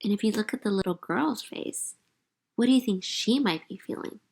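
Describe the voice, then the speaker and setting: A woman speaks as a teacher to young children during a read-aloud. Her voice sits at a medium pitch. She is expressive, pausing the story to explain and to put questions straight to her listeners. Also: gravelly, husky. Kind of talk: interactive picture-book read-aloud with commentary.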